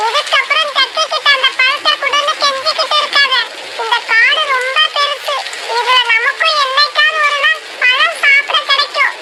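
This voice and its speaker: A performer voicing a young, earnest cartoon bird in a children's moral story, speaking clearly like a dutiful child. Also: extremely high pitch, steady tone, normal speed, very noisy. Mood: indignant. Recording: music bed behind the voice.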